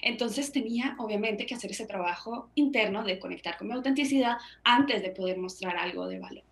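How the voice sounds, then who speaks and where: A woman's voice: medium pitch, brisk. A woman in her thirties from Colombia, answering interview questions earnestly as a book author over a video call on earbuds. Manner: earnest, gestures with hands while talking. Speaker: author and guest. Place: small room at home. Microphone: wireless earbuds on a video call. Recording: echoey room on a video call.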